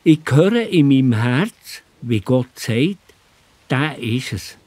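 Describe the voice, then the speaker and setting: Slow, expressive old Swiss prophet played by a man. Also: mid-range voice, no room sound. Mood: reverent. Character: old biblical prophet, pious, solemn, astonished. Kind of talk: children's Bible audio drama acting.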